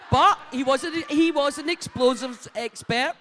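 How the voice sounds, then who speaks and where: A woman on a festival panel telling a personal story in a lively, laughing way. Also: high voice, unhurried, a little hiss or hum. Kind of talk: casual anecdote. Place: large hall at a festival. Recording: reverberant hall.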